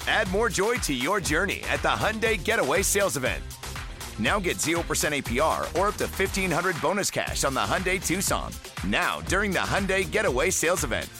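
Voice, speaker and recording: A man's voice: on the high side, very animated, fairly quick, music in the background. A man reading a scripted car commercial as an announcer.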